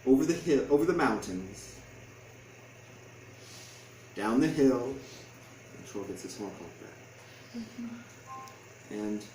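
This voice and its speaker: A man's voice: mid-range voice, lively intonation, slow, pauses often, darkish tone. A man reading a picture book aloud to an audience as its author, in storytelling style. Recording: echoey room, distant mic, faint noise.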